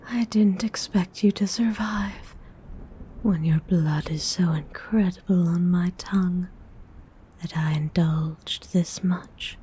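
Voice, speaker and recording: A woman's voice: on the low side, darkish tone, slight background noise. A woman voicing a predatory, possessive female vampire in a whispered ASMR role-play, with haughty, formal phrasing. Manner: whispered, slow and lingering.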